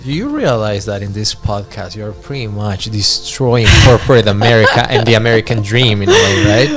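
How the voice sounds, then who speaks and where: A man's voice: on the low side, wildly swooping pitch, fairly quick, over music. A man hosting a casual podcast interview, laid-back and blunt, swearing freely as he asks questions. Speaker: podcast host.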